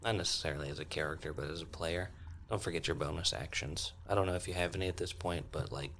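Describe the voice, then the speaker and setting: A man's voice: low-pitched, steady tone, fast talker, a bit bright, slight background noise. A man chatting casually as a player in a tabletop role-playing game.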